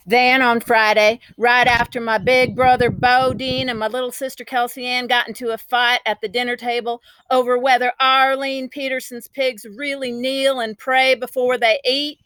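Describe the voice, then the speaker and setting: Woman playing a spirited, bright-voiced country kid. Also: fairly high pitch, no room sound. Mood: chatty, proud. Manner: folksy, emphatic, dramatic storytelling. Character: spirited, boastful, folksy country child telling their tale.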